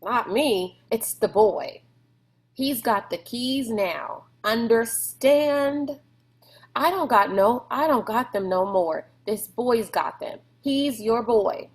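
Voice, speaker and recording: A woman voicing a gruff, boastful, bullying man in a storybook, with a folksy, mocking swagger. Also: on the high side, animated, slow, pitched above the performer's own voice. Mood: domineering.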